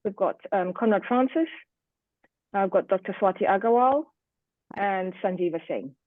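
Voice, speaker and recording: A woman's voice: medium pitch, expressive, moderate pace, no room sound. Woman chairing an online panel, formal moderator talk, thin phone-like sound, dry no room. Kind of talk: formal event moderation, addressing an online audience. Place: online video meeting. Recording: muffled, lo-fi online call audio.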